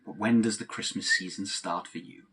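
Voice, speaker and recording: A man's voice: sits low, fairly even intonation, normal speed, pushed and bright, husky, exaggerated voice. A man acting a patient but exasperated straight-man best friend, wry and long-suffering, in a comedic audio play.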